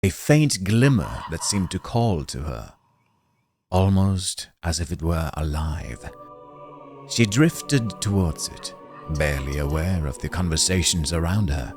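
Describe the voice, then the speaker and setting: Deep-voiced man narrating, slow and animated. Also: soft background music. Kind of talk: scripted dramatic story narration.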